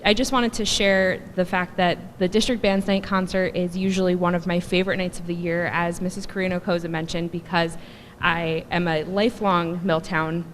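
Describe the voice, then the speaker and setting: A woman in her thirties from New Jersey, a band director speaking softly because of a cold, chatty and self-deprecating as she introduces a concert. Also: on the low side, level tone, normal speed. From New Jersey, USA. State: soft voice from a cold. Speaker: middle school band director. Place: school auditorium. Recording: echoey hall with faint noise.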